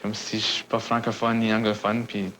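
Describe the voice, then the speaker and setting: Monotone man from Manitoba. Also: lowish voice, moderate pace, slight background noise, rough recording. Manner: monotone, candid. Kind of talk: interview clip.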